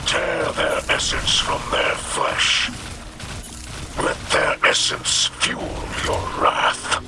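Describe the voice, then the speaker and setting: An actor playing a fierce, commanding alien warrior leader in a video game, with a stern, growling voice. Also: male voice, extremely slow, noisy background. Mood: stern, urgent. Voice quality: growling, gravelly. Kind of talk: video game character dialogue. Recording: loud music behind. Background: game music.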